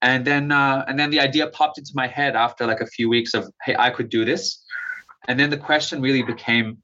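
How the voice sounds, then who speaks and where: A man's voice: mid-range voice, steady tone, a little fast, bright, forceful tone. A young adult man answering interview questions casually, hesitating often with restarts and fillers like "you know" and "like". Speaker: interview guest. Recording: slightly muffled lo-fi recording.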